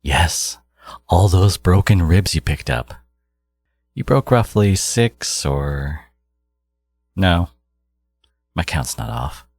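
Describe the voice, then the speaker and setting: A man playing a menacing, condescending genius supervillain in an audio roleplay, exasperated and obsessive yet caring, with a low, gravelly, husky voice. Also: animated, slow, pauses often, darkish tone, no echo, clean studio sound, heavily acted. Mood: clinical, condescending. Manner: condescending, menacing, theatrical, slipping into whispers. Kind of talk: dramatic second-person audio roleplay monologue.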